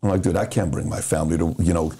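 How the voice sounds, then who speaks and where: Mellow-voiced man telling lively stories. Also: low-pitched, fast. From Iran. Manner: animated storyteller who acts out dialogue. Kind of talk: casual podcast storytelling.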